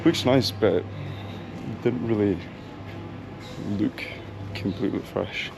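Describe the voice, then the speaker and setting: Gravelly low-voiced man vlogging outdoors. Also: slow. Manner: chatty, thinking aloud with many ums. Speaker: travel vlogger. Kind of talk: casual vlog chat while walking. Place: outdoor town streets and a bakery. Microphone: action camera mic. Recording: noisy outdoor street recording. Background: street traffic and town ambience.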